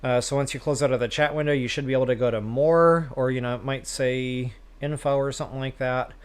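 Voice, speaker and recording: A man's voice: medium pitch, fairly even intonation, brisk, clean tone. A man hosting a game livestream, chatting casually with viewers in a self-deprecating way. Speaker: live stream host, gamer.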